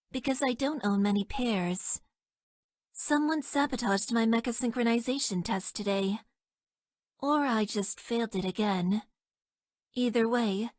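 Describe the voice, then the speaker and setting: Deadpan, slow-spoken young mecha pilot girl. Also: on the high side, dry recording. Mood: deadpan, resigned. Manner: deadpan, stilted formal phrasing, hesitant. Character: detached, self-deprecating young female mecha pilot, shyly affectionate. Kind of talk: video game character voice lines.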